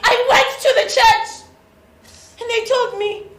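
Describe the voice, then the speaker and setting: Woman acting shrill, bitter Zimbabwean village wife. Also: steady tone, unhurried, a bit bright. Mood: indignant. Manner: declamatory, emotional. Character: bitter, wronged village wife, sick and furious. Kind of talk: theatrical stage acting. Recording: roomy echoing stage recording.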